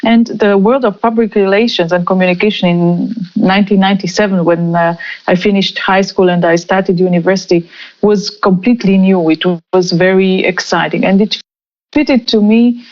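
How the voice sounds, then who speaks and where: A woman in her forties who grew up in Romania, answering interview questions slowly with many fillers and restarts. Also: mid-range voice, steady tone, a little mellow. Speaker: guest. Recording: muffled lo-fi remote call audio.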